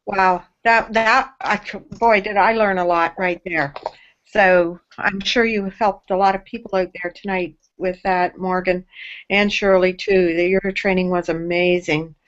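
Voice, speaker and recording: A woman hosting an online call, thanking the presenters in a halting, enthusiastic way. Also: lowish voice, fairly even intonation, fairly bright tone. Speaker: webinar host. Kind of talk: casual hosting. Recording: dull lo-fi web call audio.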